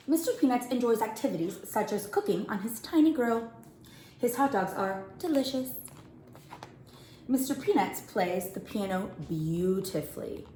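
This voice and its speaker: A woman's voice: on the high side, a little mellow, a little hiss or hum. A woman working as a storyteller reads a picture book aloud expressively, addressing young children. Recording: roomy, echoing indoor sound.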